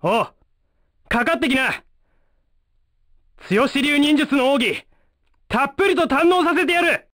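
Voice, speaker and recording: A man voicing a cocky, hot-headed young ninja with a brash, rough manner in an anime fighting game. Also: very high-pitched, animated, unhurried, pauses often, bright, forceful tone, dry recording, heavily acted. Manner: brash, rough street slang, combative.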